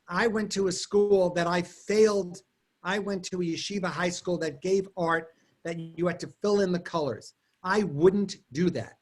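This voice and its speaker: A man whose first language is German, telling stories and answering questions on a video call, quick and digressive with frequent restarts. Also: high-pitched. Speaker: guest artist and lecturer. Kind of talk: answering questions in an online talk.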